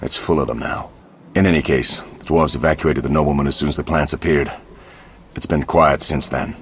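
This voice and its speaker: Gruff scornful monster hunter in a game, deep voice, curt and sardonic. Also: male voice, steady tone, normal speed, slight background noise. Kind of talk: video game dialogue acting.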